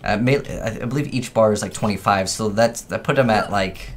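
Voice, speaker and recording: Low-voiced man commenting on gameplay. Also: fairly quick, a little hiss or hum. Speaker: gaming video creator. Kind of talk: casual off-the-cuff gameplay commentary.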